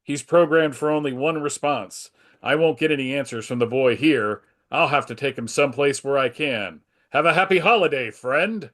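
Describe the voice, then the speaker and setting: Heroic adult male lead, read by a man: earnest, reassuring, expository, mid-pitched, for a comic table read. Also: moderate pace, a bit bright, close to an everyday voice. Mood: concerned, authoritative.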